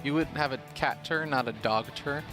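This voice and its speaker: A man's voice: mid-range voice, moderate pace, over music. A man doing casual live esports commentary with joking banter between points.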